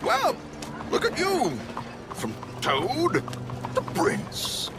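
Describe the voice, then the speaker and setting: An actor playing a flamboyant, scheming gentleman con artist in a video game, with a theatrical, grandiose, coaxing delivery. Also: very high-pitched, huge pitch swings, very slow, over music, exaggerated voice. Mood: showy, coaxing. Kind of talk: video game cutscene acting.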